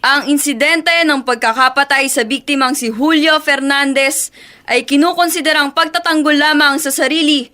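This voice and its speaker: A woman's voice: high voice, fairly even intonation, normal speed, pushed and bright. A woman playing a formal court clerk proclaiming a verdict in a Tagalog radio drama, declamatory in manner. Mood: solemn, formal.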